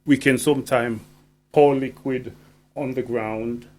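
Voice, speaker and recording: Man, a deliberate, emphatic poet guest. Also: mid-range voice, fairly even intonation, darkish tone. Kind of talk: conversational radio interview.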